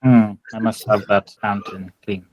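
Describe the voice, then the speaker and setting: Guy playing a pompous fawning village priest in a tabletop game, halting and unctuous, very dry sound. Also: fairly low pitch, fairly even intonation, dry recording, lightly acted. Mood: formal, courteous.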